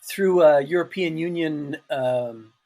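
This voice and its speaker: A man, a guest on a podcast, talking on a call from his office in a halting way, full of restarts. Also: fairly high pitch, a little mellow. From Canada. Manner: halting, with restarts and "you know, like". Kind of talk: casual interview on a call.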